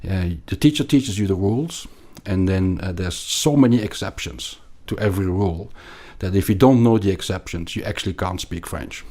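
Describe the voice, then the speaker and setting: A man with a Belgian accent explaining things as an interview guest, haltingly and emphatically, often repeating words. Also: low voice, warm tone. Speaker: podcast guest, investment analyst.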